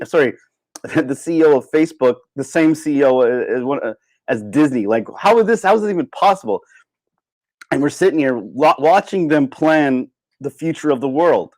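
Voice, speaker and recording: Sardonic man with a slightly high voice. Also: animated, a little fast, a little mellow, rough recording. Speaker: livestream host. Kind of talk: casual opinionated monologue.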